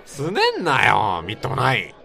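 An actor playing a blunt, exasperated young man in a radio drama, curt and scolding in rough casual speech. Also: mid-range voice, sing-song, moderate pace, sharp tone, some chatter behind, exaggerated voice.

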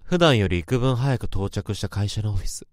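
An actor playing a male office worker who can hear thoughts, narrating a radio drama in a measured, introspective way. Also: on the low side, lively intonation, no echo, studio quality, close to an everyday voice. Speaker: narrator and lead character.

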